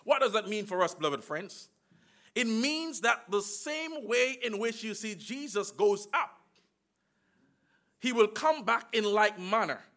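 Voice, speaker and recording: A man preaching a sermon with emphatic call-and-response and slow, drawn-out phrases. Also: sits high, animated, fairly bright tone.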